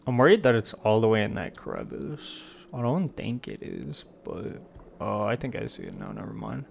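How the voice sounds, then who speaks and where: A man's voice: fairly low pitch, wildly swooping pitch, normal speed, vocal fry, a little hiss or hum. A man doing casual live commentary as a game streamer, playful and slangy, rambling as he plays.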